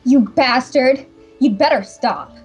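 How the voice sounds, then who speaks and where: Woman playing a high-voiced, defiant young heroine. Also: takes it slowly, a little mellow, faint music behind. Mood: scornful, angry. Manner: challenging, scornful. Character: eighteen-year-old female champion, defiant, indignant, wary.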